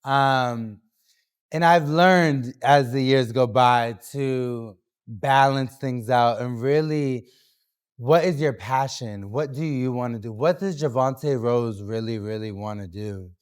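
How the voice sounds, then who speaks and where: A man's voice: medium pitch, slow, smooth. A young man from New Hampshire talking loosely as a guest on a podcast, with lots of fillers and restarts. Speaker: guest artist. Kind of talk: casual podcast interview.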